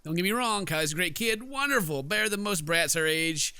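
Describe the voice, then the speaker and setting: A man's voice: sits high, animated, brisk, pushed and bright, smooth, no room sound. A man hosting a let's play, giving playful, exclamatory commentary and dramatic read-alouds, close to the mic. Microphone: close microphone.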